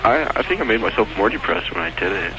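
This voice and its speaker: A man in his early twenties with a low voice speaks as a radio caller. His talk is casual, slow and hesitant, like an aimless slacker. Clear noise runs through the recording. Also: sing-song. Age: early 20s. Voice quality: slow drawn-out delivery. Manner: hesitant, slacker-like, chuckles often. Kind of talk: casual phone chat. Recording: noisy phone call on AM radio recording.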